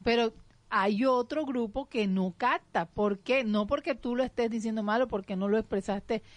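A woman's voice: fairly high pitch, moderate pace, no room sound. A woman co-hosting a spiritual talk show, affirming her partner and asking follow-up questions, often hesitating with fillers. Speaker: co-host.

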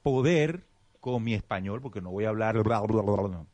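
A man's voice: fairly low pitch, expressive, normal speed, darkish tone, no room sound. A man teaching spiritual ideas in casual conversation, reflective and explanatory, often restarting his sentences. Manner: addresses the audience as "usted".

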